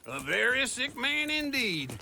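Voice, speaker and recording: An actor playing a cocky, grumbling old outlaw in a video game, boastful and folksy with an American accent, over loud outdoor noise. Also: male voice, very high voice, sing-song, a little slow, gravelly, exaggerated voice. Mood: needling, boastful. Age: older. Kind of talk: video game cutscene acting.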